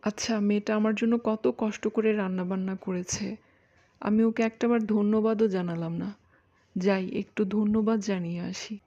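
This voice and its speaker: A woman's voice: mid-range voice, fairly even intonation, normal speed, dark tone, highs cut off, lightly acted. A woman voicing a polite, helpful town doctor in a cartoon, courteous and earnest. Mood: kindly. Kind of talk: cartoon voice acting.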